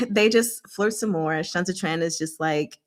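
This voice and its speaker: A woman with a mid-range voice talks through a book in a casual, chatty style. She is animated and giggly, retelling scenes in loose slang and slipping into the dialogue as she goes. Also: mid-range voice, slow pace. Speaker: host of a book discussion.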